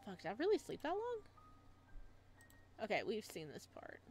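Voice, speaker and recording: A woman's voice: mid-range voice, wildly swooping pitch, normal speed, a little mellow. A woman hosting a gaming video, giving casual, chatty, giggly let's play commentary. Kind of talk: let's play commentary and reading game text aloud.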